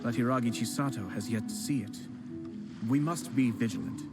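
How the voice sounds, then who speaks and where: A man voicing a composed young male noble clan head who speaks in a measured, formal and polite way in a game. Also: mid-range voice, animated, gravelly. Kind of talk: video game voice acting. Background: game music.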